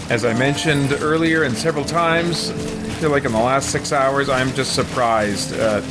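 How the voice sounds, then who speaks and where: A man chatting casually on a gaming livestream, thinking aloud in a rambling, hesitant way and sounding tired late in a marathon. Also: mid-range voice. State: tired near end of all-night marathon. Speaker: game streamer.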